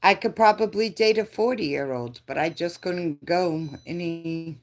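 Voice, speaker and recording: A woman in her fifties chatting with her live viewers. Her voice sits low and she takes her time, often laughing and answering the chat. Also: unhurried. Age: 50s. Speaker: livestream host.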